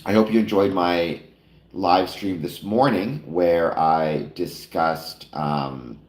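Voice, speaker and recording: A man's voice: low-pitched, fairly even intonation, slow pace. A man hosting a casual late-night chat stream, talking to viewers with many fillers and self-corrections, a little nasal. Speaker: live stream host. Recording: roomy echo.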